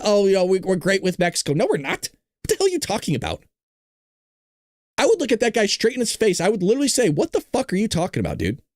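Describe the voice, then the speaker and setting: A man's voice: fairly high pitch, darkish tone, no echo, clean studio sound. A man hosting an opinionated political commentary show, speaking bluntly and emphatically with indignation and some swearing.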